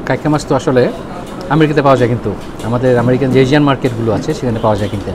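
A man's voice: medium pitch, racing. A man with a Bangladeshi accent giving a casual, chatty food review as a vlog host, mixing in English words. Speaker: food and travel vlogger, host. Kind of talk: casual restaurant review to camera. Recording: busy roadside traffic and chatter behind.

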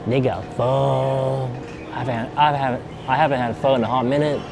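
A relaxed man talks casually to his viewers while he wanders a grocery store, thinking out loud and repeating his lines. His voice sits at a middle pitch. Steady store noise runs underneath, as you'd get from a phone held while shopping. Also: normal speed, creaky. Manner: laid-back, thinks out loud, repeats himself. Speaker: vlogger. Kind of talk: casual vlog chat.

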